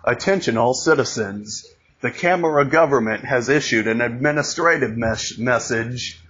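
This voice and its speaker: A man reading a scripted emergency broadcast announcement, haltingly, repeating and restarting phrases, on a lo-fi recording. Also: medium pitch, fairly bright tone. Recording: dull lo-fi audio, noticeable background noise.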